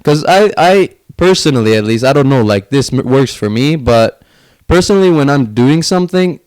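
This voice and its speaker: A man's voice: medium pitch, lively intonation, moderate pace, a little mellow. A man from Finland chatting casually as an interview guest, in informal speech peppered with "like". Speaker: podcast guest, young entrepreneur.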